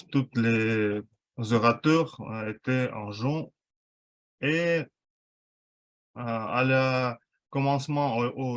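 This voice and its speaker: A man of medium pitch gives an informal report to a club as its timekeeper. He speaks slowly, in hesitant, broken phrases with pauses between them. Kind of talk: informal spoken report to a group.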